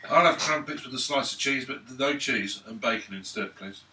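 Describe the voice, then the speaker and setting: A man's voice: mid-range voice, normal speed. A man playing a tabletop game, casually planning moves and bantering with the other players. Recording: echoey room, distant mic, clear noise.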